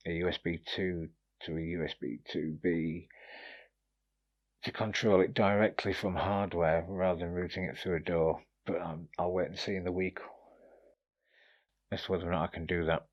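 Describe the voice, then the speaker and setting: A man's voice: sits low, fairly even intonation, normal speed, highs cut off. A man giving a casual, informal product review, explaining features and tips in a slightly hesitant way. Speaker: gear reviewer presenting a demo.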